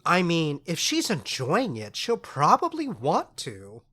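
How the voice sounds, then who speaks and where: Flippant fairy trickster, fake polite, drawls it out, swoopy pitch, audio comedy. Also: huge pitch swings, fairly bright tone, breathy edge, full character voice. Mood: cheeky. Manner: mock-deferential, flippant, drawn-out delivery. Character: mischievous, cheeky young fairy servant trickster. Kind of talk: modern comedic audio drama acting.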